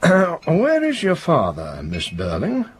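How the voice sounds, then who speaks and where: An actor playing a grave, probing police inspector in a radio drama, with a rough, husky voice and a deliberate, accusatory way of questioning. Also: male voice, a little high, huge pitch swings, full character voice. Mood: polite.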